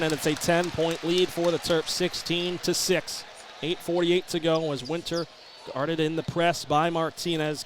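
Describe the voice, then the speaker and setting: An American man doing brisk, descriptive live basketball play-by-play that can rise to excited calls. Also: high-pitched. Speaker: play-by-play sports announcer. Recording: live arena broadcast, background ambient noise. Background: basketball game ambience.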